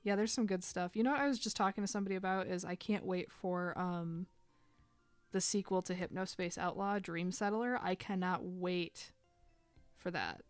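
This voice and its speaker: A woman's voice: lowish voice, fairly even intonation, normal speed, clean tone, no echo, faint music behind. A woman in her thirties chatting casually while drawing on a live stream, rambling and filling gaps with "like". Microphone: desk microphone.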